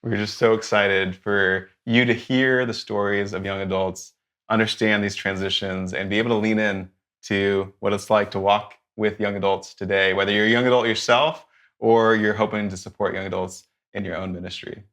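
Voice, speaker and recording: A man's voice: lowish voice, little pitch movement, moderate pace, a bit bright. A man speaking casually and conversationally as part of a podcast panel.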